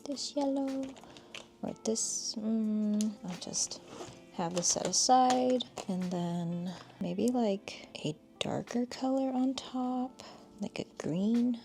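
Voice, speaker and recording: A young woman with a low-key, monotone voice, calmly chatting while she reviews art markers. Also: vocal fry, music in the background. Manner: calm, unhurried, says "like" often. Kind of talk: casual product review chat.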